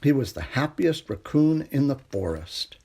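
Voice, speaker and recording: A man's voice: mid-range voice, sing-song, normal speed, warm tone, breathy edge. A man narrating a children's storybook expressively as a storytime reader.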